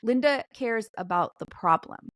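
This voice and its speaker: A woman's voice: fairly high pitch, expressive, a little slow, fairly bright tone, dry recording. A woman in her forties, a podcast guest explaining ideas in an earnest way. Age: 40s. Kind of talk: podcast interview.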